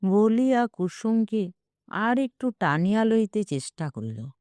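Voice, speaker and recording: A woman reading a literary short story aloud as a narrator, in a measured, expressive manner. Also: mid-range voice, no room sound. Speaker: audiobook narrator.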